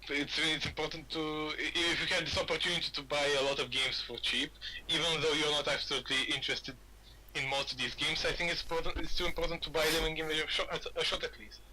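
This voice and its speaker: A man's voice: a little high, fairly even intonation, fast, bright, forceful tone, rough recording. A man from Bulgaria chatting casually on a gaming podcast, rambling and often repeating his words. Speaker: podcast host.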